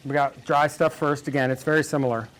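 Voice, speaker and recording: A man's voice: fairly high pitch, fairly even intonation, quick pace. A man in his forties hosting a baking show, chatting and teasing his guest as he bakes. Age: 40s. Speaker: cooking show host. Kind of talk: casual chat while baking.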